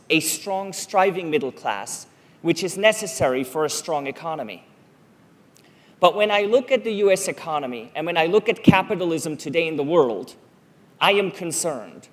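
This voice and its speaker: A middle-aged man from Switzerland, a native Swiss German speaker, giving a prepared public talk on a conference stage, telling stories and asking rhetorical questions. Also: fairly high pitch. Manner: storytelling, measured, rhetorical questions.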